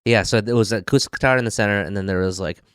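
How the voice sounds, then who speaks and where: A man's voice: on the low side, very fast, smooth, dry recording, studio quality. A man in his thirties with a Midwestern accent, co-hosting a podcast. He talks in a thoughtful, casual way and peppers his speech with "like.". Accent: Midwestern American. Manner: thoughtful, lots of "like" fillers. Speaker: podcast co-host. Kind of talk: casual chat. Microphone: podcasting mic.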